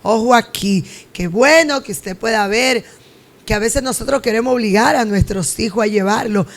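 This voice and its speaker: Woman pastor, gravelly voice. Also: on the high side, moderate pace. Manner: preachy, exhorting. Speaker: pastor and host.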